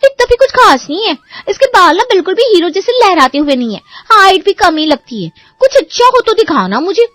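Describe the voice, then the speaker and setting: A woman's voice: very high voice, very animated, fairly quick, a bit bright, no room sound, heavily acted. A woman voicing a picky, stubborn, film-struck young sister in a cartoon story. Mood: picky, dismissive. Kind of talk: Hindi cartoon story voice acting.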